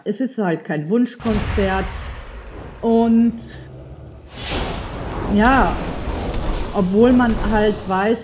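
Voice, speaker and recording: A woman's voice: medium pitch, background noise. A young woman with a slight cold chatting casually to her viewers while playing a game, rambling with lots of fillers. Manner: addresses viewers directly. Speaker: let's play streamer. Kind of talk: casual gameplay commentary.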